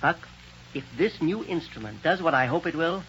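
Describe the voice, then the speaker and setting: A genial, fatherly scientist proud of his inventions. His voice sits slightly high for a man, and he tends to chuckle as he talks. The sound is dull and faintly hissy, like an old 1930s radio transcription. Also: lively intonation, moderate pace, a bit bright. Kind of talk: 1930s radio drama acting.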